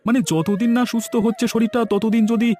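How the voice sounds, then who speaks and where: Very fast, high-pitched humble villager man acting. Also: fairly even intonation, darkish tone, soft background music. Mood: anxious. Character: respectful, anxious male villager, humble and helpful. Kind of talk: cartoon voice acting.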